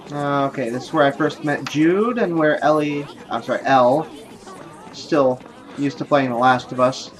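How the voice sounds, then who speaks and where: A man's voice: mid-range voice, normal speed, a crowd in the background. A man hosting a casual let's play, thinking aloud in a rambling, self-deprecating way. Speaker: gaming video host. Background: game music and sounds.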